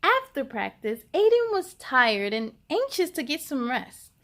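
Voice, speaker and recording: Lady doing kids story time, high voice, really slow n drawn out, talks right to the kids. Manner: animated, drawn-out, talks directly to young listeners. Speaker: children's story time host and reader. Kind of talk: expressive read-aloud for children.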